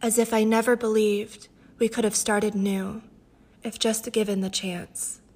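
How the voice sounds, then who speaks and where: A woman, a poet, introducing and reading her own work with a gentle, breathy delivery. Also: medium pitch, level tone, measured pace. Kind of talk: poetry recitation with spoken introduction.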